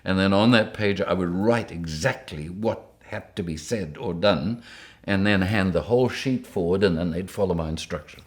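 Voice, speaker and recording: Deep-voiced older man. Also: moderate pace. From Rhodesia. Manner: lively anecdotes, chuckling often. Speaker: interviewee. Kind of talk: storytelling interview.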